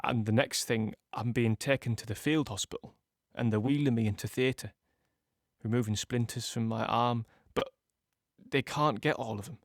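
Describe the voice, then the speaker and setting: A man acts out a young British soldier in a war audio play. He is shaken but dogged, reliving combat in the present tense. His speech halts and repeats, in a mid-pitched voice recorded dry and close. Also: no echo, close to an everyday voice. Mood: subdued. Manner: halting, repeating words as he relives events.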